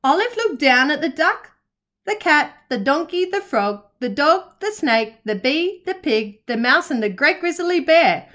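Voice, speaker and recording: A woman narrating a picture book for young children, with expressive, carefully phrased storytelling. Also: high-pitched, unhurried.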